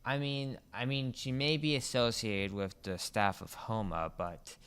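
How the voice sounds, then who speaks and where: Man co-host with a smooth, clear voice, halting casual delivery full of restarts. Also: mid-range voice, steady tone, fairly bright tone. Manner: hesitant, halting, frequent restarts and ums. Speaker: podcast co-host. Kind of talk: casual gaming chat.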